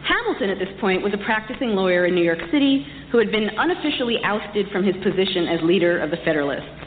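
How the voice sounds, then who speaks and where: Female lecturer, articulate and wry, mid-pitched voice, muffled recording in a reverberant hall. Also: expressive, fast talker. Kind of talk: prepared history lecture delivered to an audience. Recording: echoey hall, muffled, some background noise.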